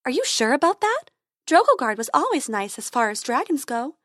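A woman's voice: sing-song, brisk, no room sound, studio quality, exaggerated voice. A woman voicing a sweet, chirpy female tooth fairy. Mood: kindly. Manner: bright, high and chirpy.

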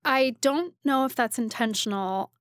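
A woman's voice: high-pitched, dry recording, studio quality. A woman being interviewed in casual conversation, often interrupting herself and starting over, leaning on "like" as she thinks aloud. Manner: halting, self-interrupting, frequent "like". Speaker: restaurant critic, interview guest.

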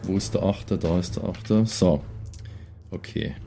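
Male tabletop player, low mellow voice, casual table talk. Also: moderate pace, vocal fry. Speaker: tabletop role-playing player. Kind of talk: casual game chat. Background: background music.

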